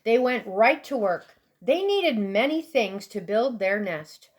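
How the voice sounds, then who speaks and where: High-voiced woman reading slowly to children. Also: sing-song. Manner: deliberate, dramatic emphasis for young listeners. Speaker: storybook reader. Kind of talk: expressive children's read-aloud narration.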